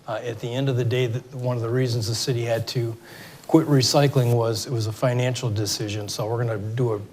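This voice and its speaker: A middle-aged man with a Michigan accent speaks at a city hall meeting, his voice scratchy from a cold. He talks loosely, with many "uh"s and restarts. His pitch sits in the middle of the male range, and faint room noise runs under the recording. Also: fairly even intonation, fairly quick, darkish tone. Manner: informal, many fillers and restarts. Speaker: mayor moderating a town hall. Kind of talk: public meeting address. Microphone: microphone for televised recording.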